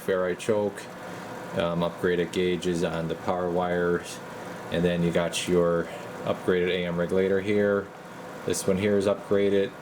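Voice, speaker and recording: Casting: male repair tech, deep voice, informal and rambling, recorded over clear background noise. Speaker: radio repair technician and video host. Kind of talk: casual hands-on repair walkthrough. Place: indoor repair workbench. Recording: noisy room with clear background hum.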